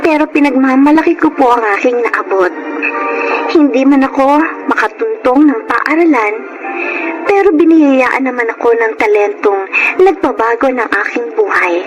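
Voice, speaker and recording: A woman's voice: sits high, moderate pace, highs cut off, music in the background, natural voice. A woman playing a hopeful seventeen-year-old girl, narrating her life earnestly in a radio drama.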